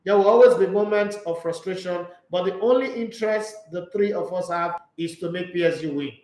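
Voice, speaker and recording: A high-pitched man talks football in a loose, opinionated way and makes jokey asides. The room around him is echoey. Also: a little fast, a little mellow. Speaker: sports talk show host. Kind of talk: casual sports talk, reading news and viewer comments.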